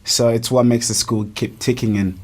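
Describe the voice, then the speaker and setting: A man's voice: medium pitch, steady tone, moderate pace, darkish tone. A young man from Uganda, a college soccer player, earnestly telling his story on a casual podcast, often restarting his sentences. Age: young adult.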